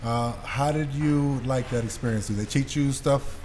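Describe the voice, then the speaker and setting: A man's voice: mid-range voice, moderate pace, a little mellow, slight background noise. A man hosting a podcast in casual interview chat, with irreverent, loose banter. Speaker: podcast host.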